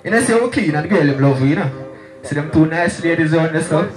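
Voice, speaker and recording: A young man with a Jamaican accent, an energetic, boastful performer doing hype talk into a stage microphone. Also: on the high side, fast. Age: young adult. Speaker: dancehall artist. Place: outdoor night stage. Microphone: handheld microphone held close. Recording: echoey live PA, loud music. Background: loud hip hop music.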